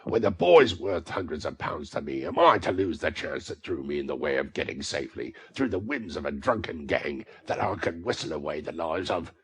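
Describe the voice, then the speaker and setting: A man voicing a scheming old male thief-master who snarls with scorn and croaks when he coaxes. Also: extremely low pitch, big pitch swings, unhurried, hardly any pauses, heavily acted. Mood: furious. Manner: scornful, then wheedling and croaking.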